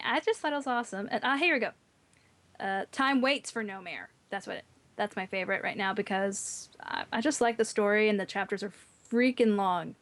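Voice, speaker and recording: A woman on a podcast panel chatting informally, thinking aloud as she goes. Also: medium pitch. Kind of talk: casual chat.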